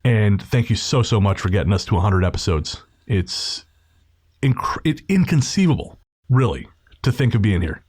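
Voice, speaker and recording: A man's voice: lowish voice, animated, moderate pace, darkish tone, husky. A man hosting a podcast, casually introducing an episode and speaking to listeners in an informal, slangy way. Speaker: podcast host.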